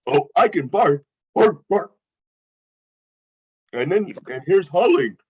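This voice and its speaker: Man as eager, husky, naive talking dog. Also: high-pitched, big pitch swings, slow pace, a little mellow, exaggerated voice. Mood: excited. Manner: childlike, simple earnest wording. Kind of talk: animated film script table read. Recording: muffled lo-fi microphone.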